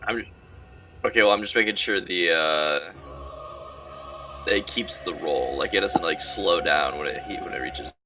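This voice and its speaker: A man's voice: deep voice, measured pace, pauses often, sharp tone. A man playing a tabletop role-playing game over online voice chat, talking casually about the rules. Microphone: distant mic on a voice call. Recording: distant online voice chat under music.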